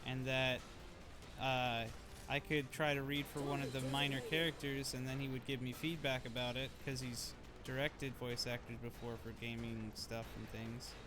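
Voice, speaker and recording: A man's voice: mid-range voice, moderate pace, over music. A young man streaming and chatting casually, rambling with lots of fillers. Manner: rambling, relaxed, many fillers. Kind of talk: casual chat. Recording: loud gunfire game noise behind. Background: loud game gunfire.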